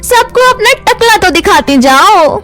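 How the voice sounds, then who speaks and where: Casting: woman doing mean-girl bully for animated moral tale, high, sneering voice over soft music. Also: a little slow, heavily acted. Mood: mocking. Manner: taunting, sneering. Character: mocking schoolgirl bully, taunting and mean.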